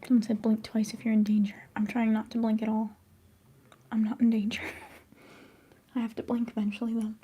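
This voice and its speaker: A young woman whispering softly and chattily during a live ASMR stream, giggling now and then. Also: on the high side, monotone, normal speed, pauses often, husky. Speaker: livestream host.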